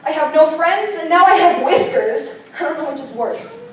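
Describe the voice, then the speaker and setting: High-voiced sulky preteen girl, played by a woman, echoey stage. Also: lively intonation, moderate pace. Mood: hurt, angry. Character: upset, self-doubting preteen girl, sulky with her mother. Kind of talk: staged musical reading, stage acting. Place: live staged reading in a hall. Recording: roomy stage recording from far off, faint noise.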